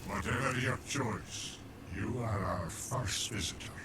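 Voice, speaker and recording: A man voicing a giant ancient tree slug creature in a video game, making slow, solemn proclamations with some phrases whispered. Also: on the low side, lightly acted. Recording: game audio under loud noise.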